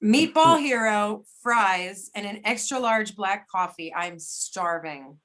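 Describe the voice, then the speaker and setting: A woman's voice: medium pitch, lively intonation, slow, a bit bright, poor audio. A woman playing a polite but probing federal agent in an amateur readers theater reading. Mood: casual.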